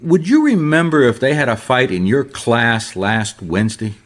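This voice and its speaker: A man's voice: mid-range voice, expressive, normal speed, close to an everyday voice. An actor playing a beleaguered, earnest private detective in an old-time radio mystery, a man who stumbles over his words. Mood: probing, careful. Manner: hesitant, repeats himself when flustered. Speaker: private investigator character and narrator.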